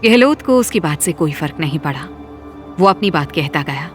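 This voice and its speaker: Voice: medium pitch, lively intonation, moderate pace, soft background music. A narrator reading a scripted dramatic story.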